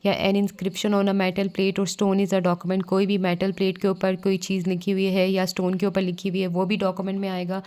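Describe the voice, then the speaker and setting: A woman's voice: mid-range voice, completely flat delivery, normal speed, few pauses, darkish tone, clean tone. A woman with a Pakistani accent giving an explanatory lesson as a law tutor, reading out and explaining line by line.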